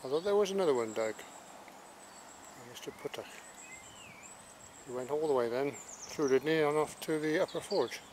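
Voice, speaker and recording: A man talks his way along a walk, chatty and admiring, slipping in wry little asides. His voice sits a touch higher than usual. Faint outdoor noise and birdsong murmur behind him. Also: moderate pace, pauses often, darkish tone. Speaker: walking vlogger narrating. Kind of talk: casual walking commentary. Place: outdoors by a rural estuary and canal.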